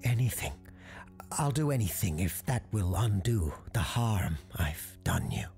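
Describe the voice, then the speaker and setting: A frightened young boy in a ghost story , played by a narrator, earnest and apologetic. The words come in a pleading, breathy hush over music. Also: sits low, very animated, a little slow, exaggerated voice. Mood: desperate.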